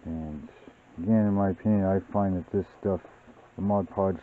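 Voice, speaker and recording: Man, very low voice, hobby tutorial host, casual rambling explanations, thin phone-like audio. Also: normal speed, slight background noise. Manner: relaxed, rambling, many fillers. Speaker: model-building channel host. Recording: tinny, phone-like sound.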